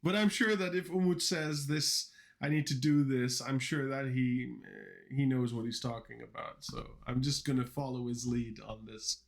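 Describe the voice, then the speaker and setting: A man's voice: mid-range voice, steady tone, gravelly. Rambling man chatting on a coding stream. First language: Dutch and Turkish. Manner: rambling, halting, lots of fillers and restarts. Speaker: coding livestreamer. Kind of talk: casual livestream chat while coding.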